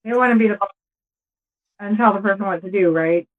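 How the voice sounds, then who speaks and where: A man's voice: sits high, fairly quick. A man interviewing casually with brief questions and reactions, heard over a thin, phone-like connection.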